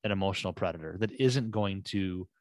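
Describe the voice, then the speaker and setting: A man's voice: low-pitched, brisk, no room sound, studio quality. A man co-hosting a podcast who rambles and thinks aloud with many restarts. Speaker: podcast co-host. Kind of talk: casual conversational chat.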